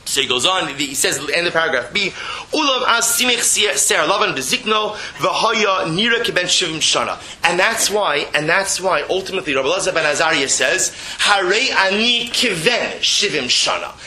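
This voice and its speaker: Male rabbi lecturer, high-pitched and intensely bright, emphatic delivery, reads then translates, full of rhetorical questions. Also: lively intonation, moderate pace. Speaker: rabbi giving a Torah class. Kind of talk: religious text lecture, reading and translating.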